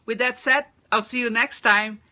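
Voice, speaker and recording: A woman's voice: fairly high pitch, steady tone, moderate pace, sharp tone. A woman from Brazil with a Brazilian Portuguese accent, giving business communication tips in a casual live stream, thoughtful with frequent restarts. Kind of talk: casual livestream talk.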